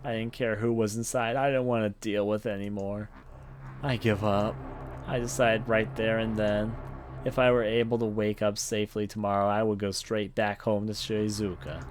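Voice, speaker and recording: A man with a fairly low voice reads visual novel narration aloud as a let's play commentator. His delivery is dramatic, with some stumbles over words. Faint noise sits behind the voice. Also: level tone, normal speed.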